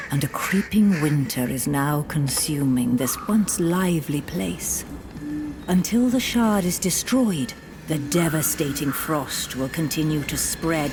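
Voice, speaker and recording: Low-pitched woman, grave fantasy game narration. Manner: grave, portentous storytelling delivery.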